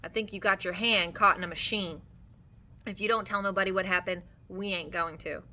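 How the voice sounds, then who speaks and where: Woman reading a blunt, authoritative ranch man, bright voice. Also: medium pitch, fairly even intonation, a little fast, natural voice. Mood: firm. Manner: blunt, folksy rural speech. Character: tough, authoritative mule-skinner, a blunt fair-minded man.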